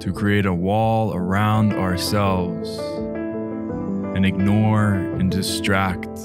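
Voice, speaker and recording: Low-voiced man guiding meditation, very slowly. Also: little pitch movement. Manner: gentle, hushed delivery with long pauses between short phrases. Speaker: meditation guide and host. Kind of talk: guided meditation. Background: music.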